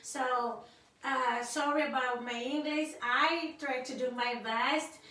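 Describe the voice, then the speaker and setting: A woman's voice: high voice, fairly even intonation, slow pace, pushed and bright. A woman from Africa whose first language is Creole, sharing her opinions in casual talk on a vlog. She speaks hesitantly, searching for words with many fillers and restarts. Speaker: vlogger on her husband's channel. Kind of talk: casual spoken opinion to camera. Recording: very echoey room, voice far from the mic.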